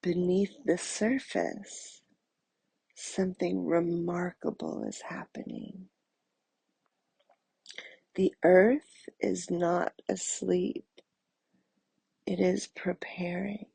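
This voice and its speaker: A woman softly guiding a meditation in a soothing, breathy, husky voice, leaving long pauses between phrases. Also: lowish voice, fairly even intonation, dry recording. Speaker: meditation guide. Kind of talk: guided meditation narration.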